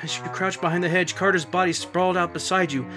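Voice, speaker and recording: A man acting as a sinister radio mystery narrator, high in pitch for a man. He needles and taunts as he tells the tale over music, in old-time radio style. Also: steady tone, fast talker, close to an everyday voice. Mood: taunting, tense. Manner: ominous, needling second-person address. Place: indoors at home, video call setup.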